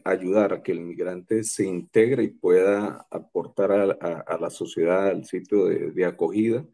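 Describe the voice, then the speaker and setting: Man, forties, gravelly and low, slow and hesitant, conference remarks. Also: mellow. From Colombia, raised in Venezuela. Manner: hesitant, many restarts. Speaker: conference participant. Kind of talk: slow, halting reflective remarks.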